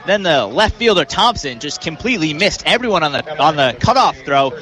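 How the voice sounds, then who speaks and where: A man's voice: a little high, big pitch swings, a little fast, bright, forceful tone. An American man giving live analytical baseball commentary in an explanatory, matter-of-fact way.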